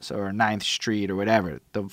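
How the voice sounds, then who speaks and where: A man's voice: on the low side, level tone, normal speed, dry recording. A man chatting casually on a podcast, telling stories in a rambling, slangy way with some swearing. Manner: rambling, slangy storytelling with profanity. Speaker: podcast speaker. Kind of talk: casual chat.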